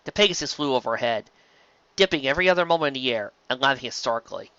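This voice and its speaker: A woman's voice: very deep voice, animated, quick pace, a bit bright, dry recording. A woman narrating a fan fiction story expressively. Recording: dull lo-fi close dry recording.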